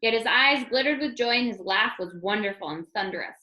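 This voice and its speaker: Woman storyteller, bright, fairly high voice, expressive children's narration, echoing room. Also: brisk, highs cut off. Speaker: storybook reader. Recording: roomy, echoing room sound.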